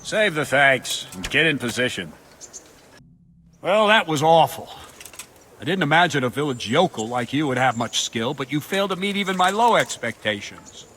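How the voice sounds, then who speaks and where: A man playing a stern, gruff medieval captain who drills a recruit with clipped commands in a video game. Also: on the high side, big pitch swings, normal speed, sharp tone, slight background noise. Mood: scornful, stern. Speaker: combat trainer, captain of the guard. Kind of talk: video game cutscene acting. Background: background music.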